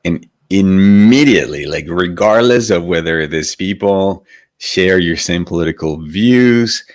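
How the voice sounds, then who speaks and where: A man's voice: deep voice, a little slow, dry recording, clean studio sound. A man from Venezuela, giving a reflective spiritual talk as a meditation teacher and often asking rhetorical questions. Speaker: meditation teacher hosting a live stream.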